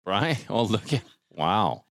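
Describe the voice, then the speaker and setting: A middle-aged American man hosts a relaxed conversation with a lowish voice. His delivery is expressive, swinging widely in pitch, and he often doubles back on his words. The sound is dry and close. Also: slow pace, creaky, no echo. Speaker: show host. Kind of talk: casual conversational chat.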